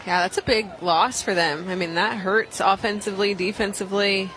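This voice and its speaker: A woman giving conversational color commentary on a live softball radio broadcast. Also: mid-range voice, fairly even intonation, normal speed, poor audio. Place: outdoor softball stadium broadcast position. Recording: outdoor ballpark, clear crowd noise behind.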